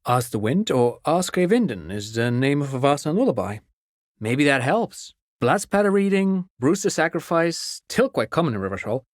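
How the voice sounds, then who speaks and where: An actor playing a chatty, courteous amateur academic in a video game, explaining things precisely like an eager enthusiast. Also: male voice, fairly high pitch, sing-song, moderate pace, no room sound, clean studio sound. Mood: musing, polite. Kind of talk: game character dialogue.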